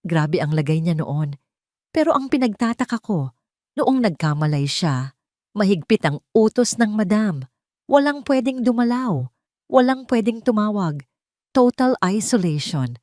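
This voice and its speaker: A woman's voice: medium pitch, big pitch swings, a little slow, a little mellow, no room sound, clean studio sound, pitched above the performer's own voice. A Filipino woman voicing a frightened, hesitant old female former nurse in an audio story. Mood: fearful, grave.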